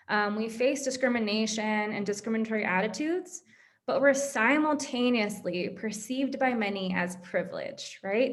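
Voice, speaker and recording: Woman co-hosting a discussion webinar. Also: medium pitch. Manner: plain conversational, addresses the audience directly. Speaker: co-host of a student webinar. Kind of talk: presenting a discussion talk.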